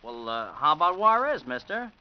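An actor playing an eager, helpful young hotel bellhop in a radio drama. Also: male voice, fairly high pitch, big pitch swings, normal speed. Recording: muffled old radio recording.

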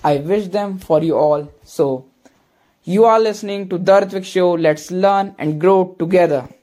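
A man's voice: high voice, a little slow, dark tone. A man hosting a podcast, opening the episode and asking his guests questions in an earnest, slightly hesitant way. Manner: earnest, repeats phrases, hesitant fillers. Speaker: podcast host. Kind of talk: hosted podcast intro and interview.

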